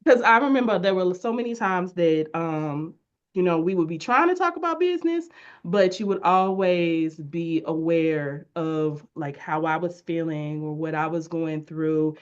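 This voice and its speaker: A woman's voice: on the low side, unhurried, highs cut off. A woman hosting a casual interview on a video call, rambling and self-correcting with plenty of fillers. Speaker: podcast host and business owner.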